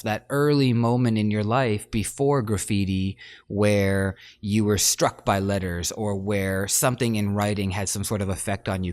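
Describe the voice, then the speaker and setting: A man's voice: on the low side, dry recording. A man hosting a podcast interview, asking friendly, rambling questions in a casual way. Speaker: podcast host. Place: Brooklyn.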